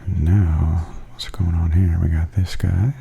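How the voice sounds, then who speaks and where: Very mellow, low, near-whispering man. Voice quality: breathy, often near-whispered. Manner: gentle, unhurried, observational. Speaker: vlogger giving a walking tour. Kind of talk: softly spoken ASMR commentary. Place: walking through a theme park and its indoor attractions. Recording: steady background noise and ambience.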